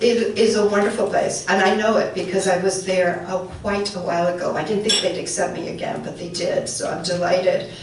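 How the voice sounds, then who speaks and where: A woman's voice: medium pitch, a little hiss or hum. An older woman whose first language was Welsh, reading her poems at a luncheon and chatting hesitantly between them. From born in England, raised in central New York. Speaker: poet reading at a society luncheon. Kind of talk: poetry reading with spoken introductions. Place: banquet hall. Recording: very echoey hall from far off.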